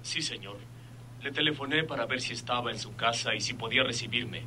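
Bright-voiced earnest young Mexican investigator son. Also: male voice, medium pitch, brisk, slightly rough. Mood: grave reporting. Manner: respectful, formal. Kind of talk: Mexican radio soap acting.

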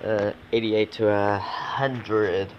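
A man giving casual, low-key live commentary as a gaming streamer, talking in fragments and mumbled asides. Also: steady tone, very slow. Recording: dull lo-fi audio, noisy background.

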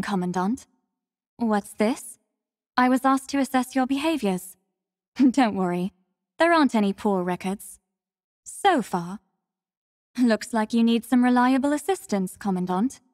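An actress playing a devoted, composed young female warrior in a video game, speaking with formal, measured, soft courtesy. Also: fairly high pitch, no room sound, clean studio sound, long reverb tail. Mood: gentle, attentive. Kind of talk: scripted mobile game character lines.

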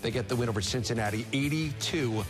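A man's voice: mid-range voice, quick pace, over music. A middle-aged man hosting a post-game sports show in a TV studio, with a polished announcer delivery. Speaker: studio host.

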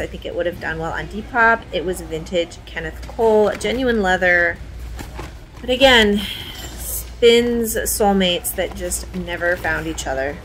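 A woman's voice: mid-range voice, a bit bright, poor audio. A woman in her thirties chatting casually and informally to her viewers as a thrift reseller vlogger. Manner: informal, addresses viewers as guys. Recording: clear background noise, warehouse ambience.

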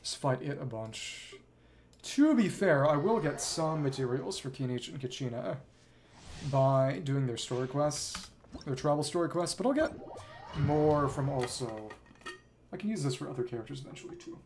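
A man's voice: medium pitch, animated, normal speed, noisy background. A young man streaming a video game, rambling casually and thinking aloud to himself as he plays. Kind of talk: casual gameplay commentary. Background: game music and effects.

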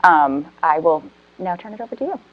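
Confident woman in her thirties, low voice. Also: very animated, brisk, phone-quality sound. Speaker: investment manager on a panel. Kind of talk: panel self-introduction. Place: conference room panel, indoors.

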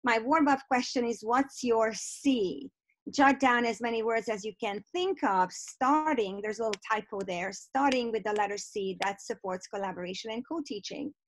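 A woman's voice: fairly high pitch, moderate pace, dry recording, rough recording. Hungarian-accented woman leading a webinar. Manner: warm, encouraging, reads out chat replies. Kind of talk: online teacher workshop presentation. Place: home office on a video call. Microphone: computer mic on a video call.

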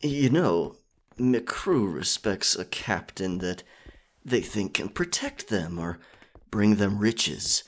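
A roguish pirate captain, acted by a man in an ASMR roleplay, speaks low and slowly. He is captured yet defiant and flirtatious, with a husky edge and a wry, halting, theatrical way of talking. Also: fairly bright tone, full character voice. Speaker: audio roleplay performer. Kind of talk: ASMR roleplay acting, one-sided dialogue.

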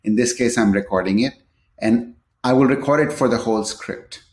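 A man presenting a screen-share software tutorial, explaining it step by step in a somewhat halting way. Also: mid-range voice. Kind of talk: screen-share product tutorial, partly unscripted. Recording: echoey room.

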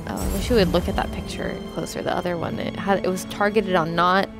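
A young woman comments casually as she watches a video game. Her voice sits a little low, and music from the game is clearly heard behind it. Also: steady tone, fast talker. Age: young adult. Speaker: gameplay reactor. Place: small indoor streaming setup. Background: game audio and music.

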